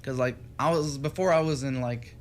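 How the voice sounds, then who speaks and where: A man's voice: medium pitch, normal speed. A young man from Texas, a music student guest, chatting casually in an interview with many fillers and restarts. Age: young adult. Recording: radio studio with faint music behind.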